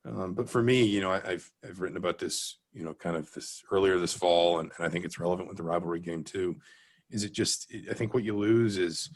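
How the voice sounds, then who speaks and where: Very deep-voiced American sports reporter, man. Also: a little fast. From Atlanta, Georgia, US. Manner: reflective, hedging, circles back mid-sentence. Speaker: guest sports reporter, newspaper beat writer. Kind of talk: interview answers, sports talk.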